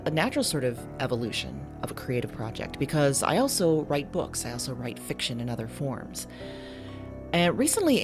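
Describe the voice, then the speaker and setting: Woman, low voice, animated intonation, casual podcast host, conversational. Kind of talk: casual chat and podcast introduction.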